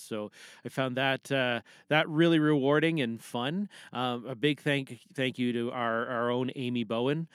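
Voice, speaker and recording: A man's voice: mid-range voice, clean tone, no echo, clean studio sound. A man hosting a podcast, talking in a chatty, rambling audio diary style. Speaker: podcast host.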